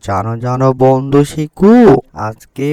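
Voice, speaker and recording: A man voicing a nervous, cautious deer in a cartoon, with slow, drawn-out calls. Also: medium pitch, expressive, a little mellow, smooth, no room sound, studio quality, natural voice. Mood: excited. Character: nervous, cautious male deer hushing his friend. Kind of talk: cartoon dialogue. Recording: music behind the voice.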